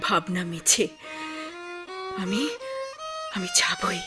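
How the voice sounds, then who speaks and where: A woman's voice: on the low side, huge pitch swings, drawn-out words, over music, exaggerated voice. A woman acting in a radio drama as a bereaved, stubbornly devoted village wife, emotional, breaking off and repeating herself. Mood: determined, pleading.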